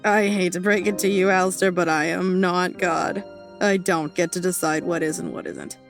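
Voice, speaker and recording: Low-voiced, blunt woman acting with a sharp tongue. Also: steady tone, moderate pace, soft background music, natural voice. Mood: defiant, heated. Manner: sarcastic, blunt, profane. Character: brash, wounded, foul-mouthed woman of faith, combative and blunt. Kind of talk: dramatic audio fiction acting.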